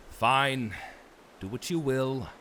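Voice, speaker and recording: An actor playing a tired, desperate, stubborn castaway in a fantasy audio drama, halting and guarded in his speech. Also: medium pitch, bright, forceful tone. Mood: weary, dismissive.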